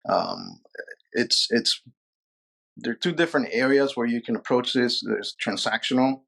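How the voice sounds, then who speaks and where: A man's voice: medium pitch, normal speed, creaky, a bit bright. A man from Peru, a sports lawyer, answering interview questions casually with many fillers and repeated words.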